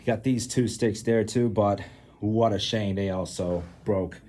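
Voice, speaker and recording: A man's voice: fairly low pitch, steady tone, measured pace, over music. A man vlogging casually, rambling and joking as he talks half to himself and half to his viewers. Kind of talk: casual rambling vlog to camera.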